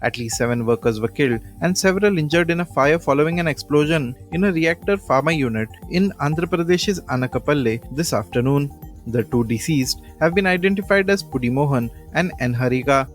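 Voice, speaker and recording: A man's voice: fairly high pitch, animated, brisk, faint music behind. A man with an Indian accent presenting a scripted radio news bulletin.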